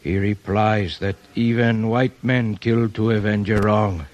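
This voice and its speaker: An actor playing a grave, dignified old Apache chief in a 1950s radio western, with formal, measured phrasing. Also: male voice, lowish voice, fairly even intonation, darkish tone, muffled recording, close to an everyday voice. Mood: grave, stern.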